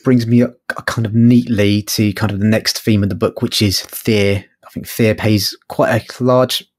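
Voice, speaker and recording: Low-voiced man, tentative book chat. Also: steady tone, moderate pace, dry recording. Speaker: podcast co-host. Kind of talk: casual book discussion.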